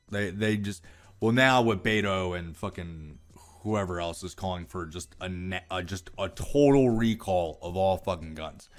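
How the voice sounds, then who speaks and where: An American man reading aloud and ranting casually about it, with profane, exasperated and sarcastic commentary. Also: lowish voice. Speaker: political commentary vlogger.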